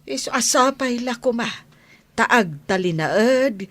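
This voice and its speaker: A woman's voice: on the high side, lively intonation, slow pace, fairly bright tone, no room sound. A woman playing a caring, protective confidante in an Ilocano radio drama. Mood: tender.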